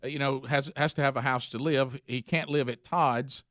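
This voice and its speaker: A man's voice: mid-range voice, fairly even intonation, normal speed, a bit bright, dry recording, clean studio sound. A man hosting a radio talk show in easy, chatty banter. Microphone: studio microphone.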